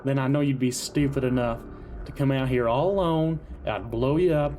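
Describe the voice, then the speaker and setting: A man's voice: mid-range voice, moderate pace, warm tone, soft background music, natural voice. A man playing a mocking, gloating killer out for revenge in an amateur audio drama. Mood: gloating menace. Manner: gloating, mocking, chuckling delivery. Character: taunting, vengeful male killer avenging his brother.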